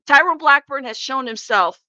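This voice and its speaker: A woman with a high, congested voice hosts a casual livestream. She talks bluntly and informally, swearing and addressing the chat directly. The sound is close and dry but slightly dull and lo-fi. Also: lively intonation, normal speed, bright, forceful tone, dry recording. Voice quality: congested, stuffed-up nose. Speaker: livestream host. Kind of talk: casual live commentary to viewers.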